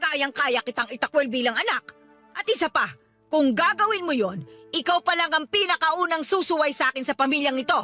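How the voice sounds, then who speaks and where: Shrill, domineering older mother. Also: animated, brisk, bright, forceful tone, soft background music. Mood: stern, threatening. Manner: scolding, bossy. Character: domineering, threatening older mother, strict landlady. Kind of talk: Tagalog radio drama acting.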